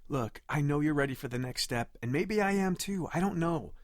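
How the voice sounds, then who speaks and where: An actor plays a defensive, immature man in his thirties in a naturalistic audio drama. His voice swings widely in pitch as he pleads and protests. The sound is close and dry. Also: expressive, normal speed, no room sound, close to an everyday voice. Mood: defensive, pleading. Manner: pleading, indignant, emotional. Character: defensive, immature thirty-five-year-old boyfriend.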